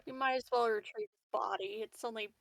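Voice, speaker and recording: A woman banters casually as a tabletop game player, a little profane. Her delivery is flat and slightly high, heard away from the mic with clear background noise. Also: fairly quick. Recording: distant mic, clearly noisy.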